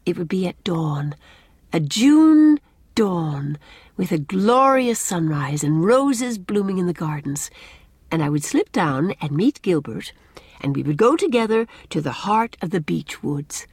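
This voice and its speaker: Woman reader as romantic young bride, cheerful, teasing, lyrical, lilting lively pitch, storybook dialogue, dry sound. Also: unhurried, husky, dry recording, full character voice. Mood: dreamy. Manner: expressive, lyrical, lightly teasing. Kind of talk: audiobook character dialogue.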